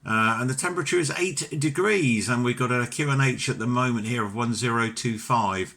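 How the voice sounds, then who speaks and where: A British man with a notably bright, crisp-edged voice talks over amateur radio. He is chatty and courteous, with open enthusiasm as he carries on a conversational exchange. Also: medium pitch, brisk. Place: home ham radio station.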